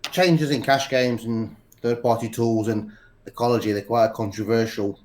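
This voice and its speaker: A man with a cold, speaking in a halting way with many pauses and restarts as a podcast interview guest. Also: medium pitch, steady tone, a little mellow. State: congested with a cold. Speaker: poker executive guest.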